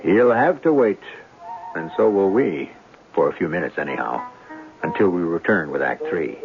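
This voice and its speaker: Man, lowish creaky voice, wry theatrical host, measured 1970s radio drama narration, tinny broadcast with prominent music.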